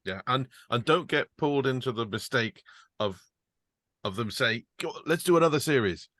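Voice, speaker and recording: A man on a script reading panel, chatting casually with quick, wry asides. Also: mid-range voice, expressive, fairly bright tone, no room sound, studio quality.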